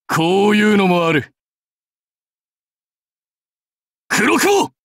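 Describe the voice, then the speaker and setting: A man's voice: a little high, fairly bright tone, dry recording, clean studio sound. A man voicing a proud, seasoned samurai warlord in a mobile game, speaking in a dignified, archaic lordly manner. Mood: fierce battle cries. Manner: stately, archaic lordly speech. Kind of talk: Japanese mobile game character voice lines.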